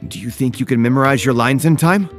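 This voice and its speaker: An actor playing a friendly, apologetic theater troupe leader in a video game cutscene, earnest and easily excited. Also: male voice, medium pitch, fast talker, soft background music, natural voice. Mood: eager.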